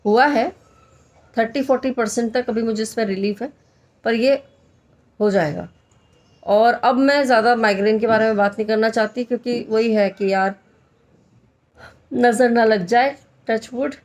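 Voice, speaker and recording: A woman's voice: on the high side, a little mellow. A woman in her thirties from the Hadoti region of Rajasthan, with a mild Hadoti tone, chatting casually in a daily vlog and repeating phrases for emphasis. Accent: Hindi with a mild Hadoti Rajasthani tone. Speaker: vlogger, homemaker.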